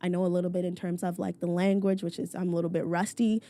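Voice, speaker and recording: A woman's voice: fairly low pitch, level tone, fast talker, warm tone. A young woman from Nova Scotia, Canada, chatting as a podcast guest, often saying "like" and laughing as she talks. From Halifax, Nova Scotia, Canada. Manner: frequent "like" fillers, laughs while talking. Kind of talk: casual conversational interview.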